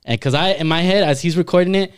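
Fast, joking male podcast co-host; crisp clear voice, dry recording. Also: on the high side, no room sound. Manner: joking, excitable, profane. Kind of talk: casual podcast chat.

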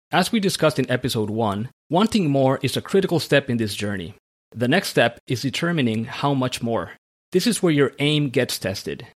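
A man hosting a podcast, reading a motivational script in an earnest tone, then interviewing a guest. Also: medium pitch. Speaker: podcast host.

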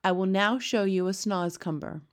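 A woman's voice: mid-range voice, moderate pace, close to an everyday voice. A woman voicing a very old, kindly male giant who talks with mangled grammar and made-up words. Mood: scornful.

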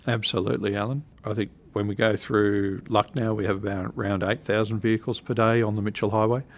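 A man, a council director, answering interview questions in a measured way with frequent ums. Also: lowish voice, flat delivery. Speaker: council technical services director.

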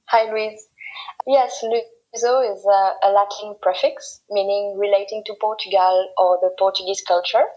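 A woman in her thirties, raised in France, answering interview questions softly, pausing and repeating words now and then, over a phone call. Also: mid-range voice, fairly even intonation. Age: 30s. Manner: soft-spoken, with some hesitant fillers and repeated words. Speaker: podcast guest, podiatrist. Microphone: phone over a messaging-app call.

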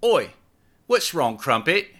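A man voicing an excitable, bossy young woman who scolds and coaxes her boyfriend in an audiobook. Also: on the high side, a little slow, pitched above the performer's own voice, heavily acted. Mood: coaxing. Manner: exclaiming, nagging, slangy.

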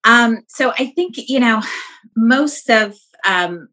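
Bright, chatty woman guest in her thirties. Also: on the high side, animated. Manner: chatty, full of fillers, quick bursts. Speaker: guest, wellness coach. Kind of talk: casual interview chat.

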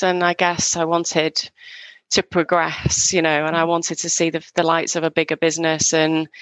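A woman's voice: on the low side, no echo, poor audio. A middle-aged woman from northwest England, a guest telling her story in a frank, chatty way and laughing easily. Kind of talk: casual interview chat.

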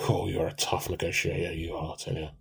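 A man's voice: sits low, fast talker, vocal fry, slightly rough, exaggerated voice. A man, playing a timid, comically earnest, melodramatic husband in a radio play. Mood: mock solemn. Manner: pompous, excitable. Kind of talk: amateur radio play dialogue.

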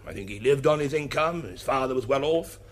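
An actor playing a proud, flustered English duke testifying in a radio drama, with an upper-class English accent and a clipped, slightly husky, hesitant delivery. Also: male voice, medium pitch, animated, fairly quick, close to an everyday voice. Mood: guarded, formal. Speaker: witness at an inquest.

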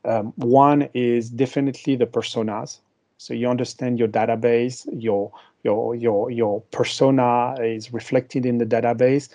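French-accented man, gravelly and mellow. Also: medium pitch, flat delivery, unhurried. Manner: hesitant, many fillers and restarts. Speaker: marketing executive guest. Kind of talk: podcast interview answer.

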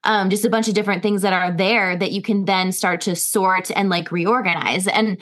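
A woman's voice: medium pitch, fairly even intonation, moderate pace, a bit bright. A woman writing coach chatting casually and encouragingly, with enthusiastic asides and the odd swear word.

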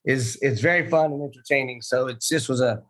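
A man in his thirties from Central Florida, a dealership owner answering interview questions in an earnest, halting way with fillers over a remote call. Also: medium pitch, a bit bright, husky. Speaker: car dealership owner, guest. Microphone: remote video call.

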